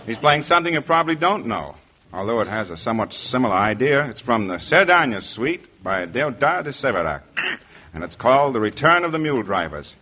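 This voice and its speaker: A man's voice: medium pitch, sing-song, brisk, pushed and bright, a little hiss or hum. A man hosting a 1940s radio variety show, with scripted announcing and banter, on an old muffled broadcast recording.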